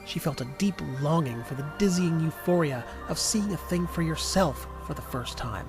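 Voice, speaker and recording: A man's voice: a little high, lively intonation, moderate pace. A man reading scripted narration for an audio drama in a storytelling style. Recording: music under the voice. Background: music.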